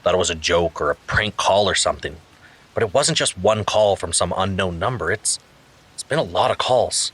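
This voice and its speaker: A man's voice: low voice, animated, moderate pace, fairly bright tone, breathy edge, full character voice. An actor playing a troubled, weary man confessing his story in a fiction podcast monologue. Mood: troubled, uneasy. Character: troubled male narrator haunted by mysterious voice messages, weary.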